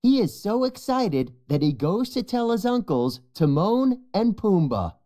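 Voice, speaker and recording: A storyteller reading a scripted children's storybook in an expressive, measured way. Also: medium pitch. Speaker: storybook narrator.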